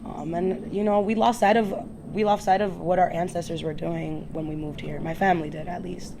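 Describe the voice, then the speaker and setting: A woman's voice: fairly low pitch, steady tone, a little fast, vocal fry, background noise. A young woman born in the Dominican Republic, a farm co-founder, telling stories from her childhood in casual podcast talk.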